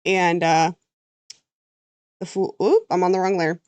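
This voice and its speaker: A woman's voice: lowish voice, steady tone, measured pace, darkish tone, no echo. A Canadian woman chatting casually while she draws on a livestream, thinking aloud and trailing off mid-sentence. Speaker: VTuber artist streaming her drawing.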